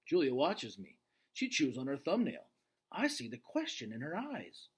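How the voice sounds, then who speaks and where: A man reading a children's book aloud with expressive narration and casual asides. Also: mid-range voice, normal speed, slightly rough. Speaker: storybook reader.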